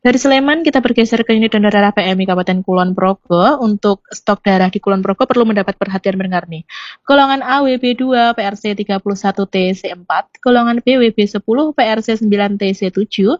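Woman, hoarse, friendly staffer reading out schedules and lists of numbers on a muffled phone-in line. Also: mid-range voice, fairly quick, no echo. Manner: friendly, reads out lists of figures. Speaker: blood donor unit staff. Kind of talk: radio phone-in report.

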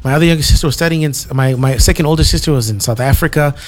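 A young man from Zimbabwe, a musician guest in a relaxed interview, easygoing and full of "you know"s. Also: a little high, very fast. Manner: easygoing, repeats himself, many "you know"s.

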